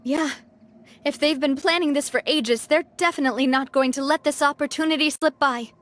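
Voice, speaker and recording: A woman's voice: high voice, a little fast, a little hiss or hum, natural voice. An actress voicing a kind, responsible young woman who leads a close-knit band in a video game. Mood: worried, decisive. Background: faint music.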